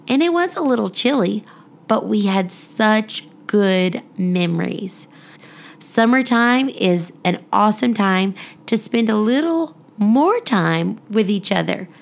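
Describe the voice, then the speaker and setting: A woman hosting a children's story podcast, speaking expressively and deliberately as if reading aloud to young listeners. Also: medium pitch, expressive, creaky. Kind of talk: children's storybook narration and friendly address to listeners.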